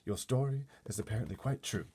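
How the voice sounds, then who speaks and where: A man voicing a brisk, commanding, unflappable queen in a storybook read-aloud. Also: fairly low pitch, warm tone, slightly rough, voice pushed up. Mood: brisk, resolute. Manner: clipped, imperious, matter-of-fact.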